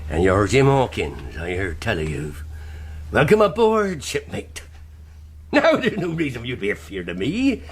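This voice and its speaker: An actor in a radio drama playing a sly, jovial one-legged pirate cook with a salty, theatrical sailor's dialect. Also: male voice, mid-range voice, wildly swooping pitch, measured pace, crowd noise. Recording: noisy radio drama with ambience behind.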